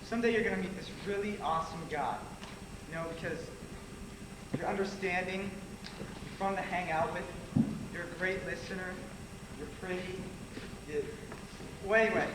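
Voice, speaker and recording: Casting: male actor as wounded, earnest young man, high voice, stage drama; echoey, noisy theater capture. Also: normal speed, long pauses, close to an everyday voice. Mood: tender, rueful. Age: young adult. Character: hurt, earnest young man betrayed by friends. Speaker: stage actor in a one-act play. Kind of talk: amateur stage acting, projected dialogue. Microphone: distant audience camera.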